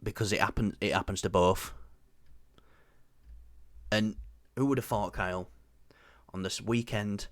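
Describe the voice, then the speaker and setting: A man's voice: low-pitched, a bit bright, dry recording. A young man with a Yorkshire accent co-hosting a movie review show, chatting casually with lots of fillers and restarts. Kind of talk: casual chat.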